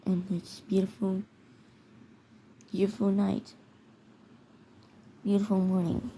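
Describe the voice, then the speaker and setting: A mellow, mid-pitched female voice narrates a homemade video in a halting, amateur way. The delivery stumbles and repeats words. There is some noise behind the voice. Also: monotone, poor audio. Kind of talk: amateur narration of a mock emergency alert scenario video. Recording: noticeable background noise.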